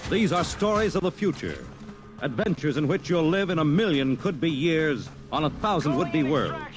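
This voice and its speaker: A man's voice: fairly high pitch, very animated, normal speed, a little hiss or hum. A man playing a grave, theatrical announcer of science fiction tales in 1950s radio drama style. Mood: portentous, dramatic. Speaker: radio show announcer and narrator. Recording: old radio broadcast, loud music behind.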